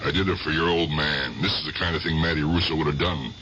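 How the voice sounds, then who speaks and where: An actor playing a retired gangster in his late fifties, gruff and streetwise, in a radio drama. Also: a bass voice, fairly even intonation, fast, bright, forceful tone, muffled recording. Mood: fond, wistful. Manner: gruff streetwise slang, clipped retorts, repeated "hey" and "huh". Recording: noisy old radio drama recording.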